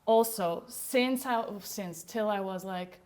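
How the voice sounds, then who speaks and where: A woman's voice: medium pitch, slow, a little mellow. A young woman, a podcast guest speaking candidly in casual conversation, full of "like" fillers.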